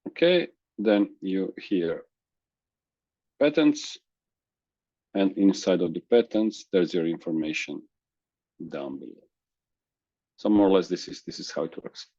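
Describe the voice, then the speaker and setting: Croatian-native guy demoing a site on a video call, stops and restarts a lot, flat dull lo-fi sound. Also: sits low, no room sound. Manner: halting, many restarts and fillers. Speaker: webinar presenter. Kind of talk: screen-share software demo.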